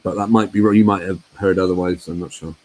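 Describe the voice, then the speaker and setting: Low mellow-voiced man host. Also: fairly even intonation, fast talker. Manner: hesitant, musing, many fillers. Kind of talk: casual call-in chat.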